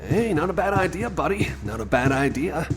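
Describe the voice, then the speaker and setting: A man's voice: a little high, very animated, a little fast, over music. A man playing a friendly, anxious cyborg companion in an ASMR roleplay, rambling hesitantly and repeating himself as he chats to the listener. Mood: chatty, musing.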